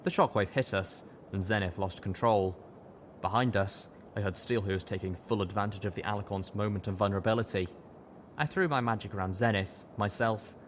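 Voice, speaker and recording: A man reading first-person fiction aloud with steady, dramatic storytelling. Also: on the low side, slight background noise. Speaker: audiobook reader.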